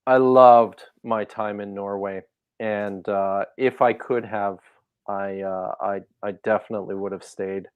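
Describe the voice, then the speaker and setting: A man's voice: lowish voice, steady tone, a little slow, smooth, dry recording, narrow, tinny audio. A man talking as a guest scholar in a casual interview, thoughtful and hesitant, with many fillers and restarts.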